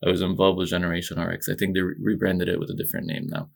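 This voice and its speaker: A young man from Egypt, a podcast guest, giving calm and measured conversational answers about his path. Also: sits low, vocal fry.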